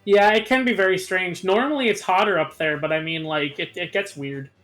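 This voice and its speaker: A man's voice: high-pitched, brisk, a bit bright. A man in casual, playfully insistent banter with friends as he co-commentates a video game. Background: game music.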